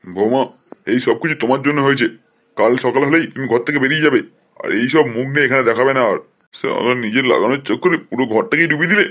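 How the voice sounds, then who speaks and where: A man's voice: medium pitch, quick pace, fairly bright tone, natural voice. A man playing a stern, indignant old grandfather who scolds his family in a comedy cartoon. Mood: bitter scolding. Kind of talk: adult comedy cartoon dialogue in Bengali.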